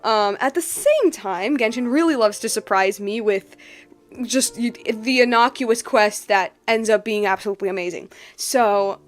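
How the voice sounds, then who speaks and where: A woman's voice: a little high, normal speed. A woman hosting a game livestream in casual chat, rambling informally and circling back on her phrases. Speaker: game streamer, host.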